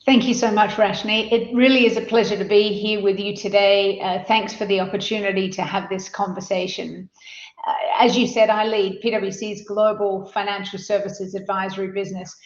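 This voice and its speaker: A middle-aged woman with an Australian accent talks through a webinar, steady in pitch and often restarting phrases with fillers. The sound is muffled and roomy, as if picked up in an echoing room. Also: normal speed. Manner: fluent, with frequent fillers and repeated words. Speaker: advisory leader, webinar panelist. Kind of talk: webinar presentation. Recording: muffled audio, roomy echo.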